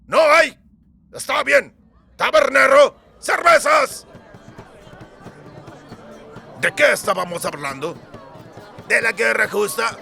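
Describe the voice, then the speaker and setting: A man voicing gruff, sardonic dwarf soldiers in a fantasy audiobook, blunt and given to swearing. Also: very high-pitched, pushed and bright, voice pushed up, full character voice. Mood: booming, commanding. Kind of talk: dramatised fantasy audiobook dialogue.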